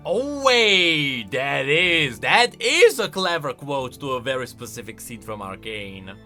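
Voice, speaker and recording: A man giving casual reaction commentary on video game lore, expressive and emphatic as he thinks aloud. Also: medium pitch, slow pace. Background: music.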